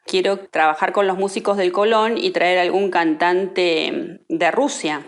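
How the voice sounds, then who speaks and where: A woman's voice: on the low side, fairly even intonation, unhurried. A woman telling anecdotes in an interview, conversationally, voicing the quoted dialogue as she goes. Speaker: interviewee telling family memories.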